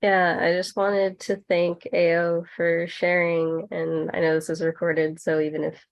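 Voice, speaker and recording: A woman with a lowish, gravelly voice speaks very slowly on an online workshop call. She is reflective and halting, pausing often as she talks informally. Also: little pitch movement. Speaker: workshop panelist. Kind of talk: informal reflective panel talk.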